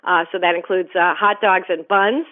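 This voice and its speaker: A woman giving brisk, enthusiastic movie reviews as a guest calling in by phone. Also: fairly low pitch, fairly even intonation. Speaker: film critic guest. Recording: tinny phone line.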